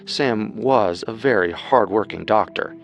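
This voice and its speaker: Low-pitched man narrating true crime. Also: unhurried, vocal fry, lo-fi sound, a little hiss or hum. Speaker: co-host and narrator.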